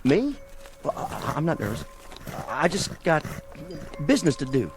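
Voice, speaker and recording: A frightened small-town fellow in a vintage TV Western. He stammers in a high drawl that jumps around anxiously, halting and deferential. Faint music sits behind him. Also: wildly swooping pitch, heavily acted. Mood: nervous, evasive. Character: jittery, stammering, cowed male Western townsman. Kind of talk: old Western drama acting. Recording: vintage TV drama audio with music.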